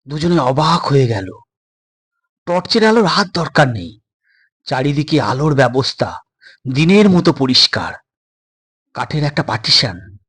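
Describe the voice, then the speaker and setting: Slow, expressive male suspense storyteller. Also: mid-range voice, slightly rough. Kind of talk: dramatic scripted suspense story narration.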